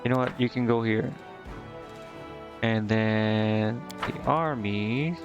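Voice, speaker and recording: A man's voice: lowish voice, slow pace. A man doing casual live game commentary, thinking aloud to himself in slangy, indecisive mutters. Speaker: game streamer. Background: game music.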